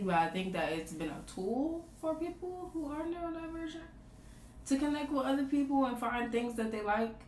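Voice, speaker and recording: A woman's voice: on the high side, slight background noise. A woman in her twenties chatting casually, often trailing off mid-thought. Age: 20s. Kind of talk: casual chat. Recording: roomy echo.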